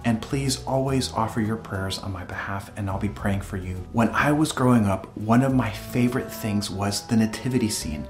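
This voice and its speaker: A man's voice: on the low side, faint music behind. Earnest man hosting devotional videos. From El Salvador. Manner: earnest, reverent, unhurried. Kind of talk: devotional storytelling and reading aloud.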